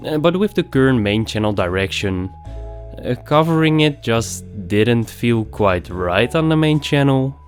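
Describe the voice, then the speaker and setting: A man's voice: mid-range voice, animated, measured pace, faint music behind. A young man rambling casually through a game review, nasal from a recent cold, swearing offhandedly.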